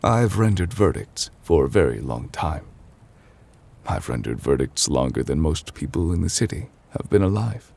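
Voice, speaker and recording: A man playing an ancient, weary chief judge in an intimate ASMR roleplay, formal and gentle, speaking in measured, hesitant phrases. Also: big pitch swings, full character voice. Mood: sombre, weary. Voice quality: husky, breathy low voice. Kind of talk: intimate ASMR audio roleplay, slow dramatic monologue.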